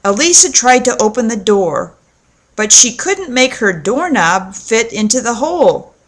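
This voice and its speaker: A woman narrating a children's storybook aloud in a measured, clearly phrased read-aloud delivery. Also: fairly high pitch, big pitch swings. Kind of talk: storybook narration with acted dialogue.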